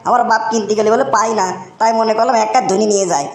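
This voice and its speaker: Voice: medium pitch, steady tone, rapid-fire, natural voice. A performer voicing a whiny, greedy young village man in a comic cartoon, exclaiming and repeating in a dialect. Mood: complaining. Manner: exclamatory, whining, repetitive. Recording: roomy echo, faint background noise.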